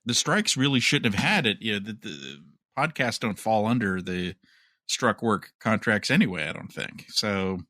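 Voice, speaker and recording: Man, low voice, bright edge, casual podcast chat, opinionated, close dry recording. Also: big pitch swings, moderate pace, dry recording. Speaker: podcast co-host. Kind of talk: casual chat about entertainment news.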